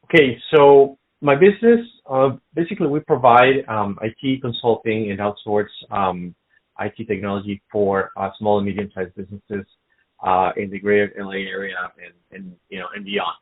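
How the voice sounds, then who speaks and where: A middle-aged man from Colombia, a business guest in a casual interview, speaking haltingly with many fillers and restarts. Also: on the low side, steady tone. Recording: phone-like narrow sound.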